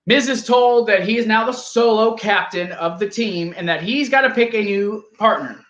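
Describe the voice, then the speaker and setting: A man's voice: sits high, normal speed, fairly bright tone, roomy sound, highs cut off. A man casually reviewing a wrestling show, rambling and joking as he argues with his co-host. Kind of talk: casual live review chat.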